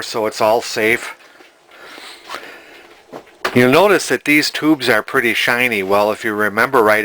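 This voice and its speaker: A man in his sixties talking casually and haltingly as he hosts a hands-on restoration vlog from his workshop. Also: fairly low pitch, pushed and bright. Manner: halting, hesitant, rambling. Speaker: vintage TV restorer, video host. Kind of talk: casual spoken workbench vlog. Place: cluttered indoor home workshop.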